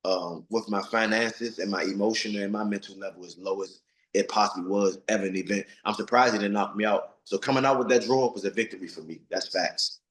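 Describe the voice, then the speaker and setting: A man's voice: on the low side, a little fast, fairly bright tone, reverberant, rough recording. A man of forty, a retired boxer, giving blunt, streetwise interview answers and repeating himself for emphasis. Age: 40s.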